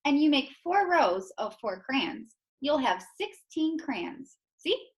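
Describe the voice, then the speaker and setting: A man's voice: very high-pitched, very animated, takes it slowly, pitched above the performer's own voice, full character voice. A man voicing a prim, smug know-it-all second-grade girl in a perky, self-satisfied way for a children's storybook. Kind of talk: children's storybook read-aloud.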